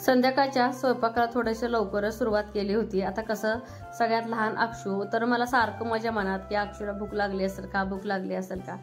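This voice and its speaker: A woman's voice: mid-range voice, little pitch movement, brisk, faint music behind. A woman with a rural Maharashtrian Marathi accent, chatting in a homely, colloquial way as she narrates a family vlog. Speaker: family vlogger. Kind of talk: casual home vlog, chatty cooking narration.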